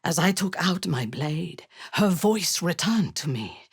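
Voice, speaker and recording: A ruthless female drow commander for a fantasy video game. Her voice is low and husky, haughty and menacing, and she takes her time while swinging widely in pitch. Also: lively intonation, measured pace, no room sound, clean studio sound, heavily acted. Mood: intense, solemn. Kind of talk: dramatic fantasy game acting.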